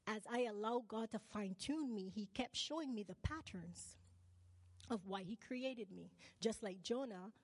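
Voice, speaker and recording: A woman's voice: a little high, a little mellow, no room sound, long reverb tail. A woman from Barbados preaching a sermon. She keeps asking the congregation questions and calls for "amen" and "right?".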